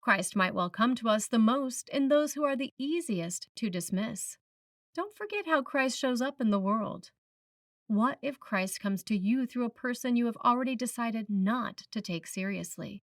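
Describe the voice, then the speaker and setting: A woman's voice: fairly high pitch, animated, dry recording, studio quality. Woman reading a sermon, slightly distant mic. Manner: measured, reflective, rhetorical questions to the listener. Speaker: preacher reading a written sermon. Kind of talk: sermon, read from a script.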